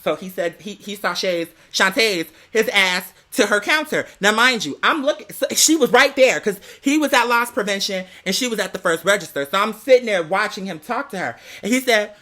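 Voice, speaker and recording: Bright-voiced, sassy, animated storyteller. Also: mid-range voice, moderate pace, hardly any pauses. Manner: sassy, slangy, emphatic, peppering talk with "girl"; theatrical, acts out quoted dialogue. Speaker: vlog host telling a personal story. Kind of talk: animated gossipy storytelling vlog.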